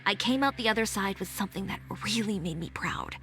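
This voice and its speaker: A woman as a calm fashion designer. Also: mid-range voice, natural voice. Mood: reflective. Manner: calm, measured, reflective. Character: composed female fashion designer, adult. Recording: game audio with faint music.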